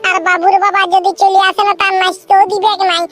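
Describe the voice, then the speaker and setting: A performer voicing a lively, bossy and playful cartoon villager in comic Bengali with a Purulia accent. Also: very high voice, fairly quick, heavy background noise. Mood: impatient. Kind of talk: comic regional cartoon dialogue. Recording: music under the voice. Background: music.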